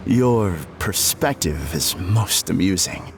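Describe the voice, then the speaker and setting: An actor voicing a polite, serenely deluded villain in a video game, speaking in a calm, formal, grandiose manner. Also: male voice, sits low, slow pace, background noise, full character voice. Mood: amused, courteous. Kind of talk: theatrical video game voice acting. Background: game music.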